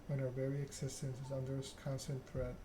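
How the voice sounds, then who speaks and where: Man as stern female vampire monarch, solemn, formal, even intonation, noisy game reading. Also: mid-range voice, fast talker, close to an everyday voice. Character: stern, regal female vampire ruler passing judgment. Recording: clear background noise.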